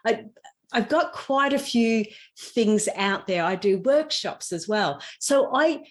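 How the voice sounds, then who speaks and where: A woman's voice: a little high, expressive, takes it slowly. A woman with an Australian accent giving chatty, earnest answers as a podcast guest, explaining things through everyday examples. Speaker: podcast guest, intuition teacher and digital marketer. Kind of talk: conversational interview answers.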